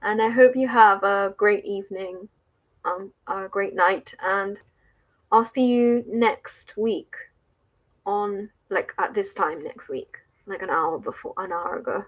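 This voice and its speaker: A woman's voice: mid-range voice, slow, poor audio. A woman with an English accent chatting casually on a livestream, answering viewer comments with frequent laughs, likes and restarts. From England.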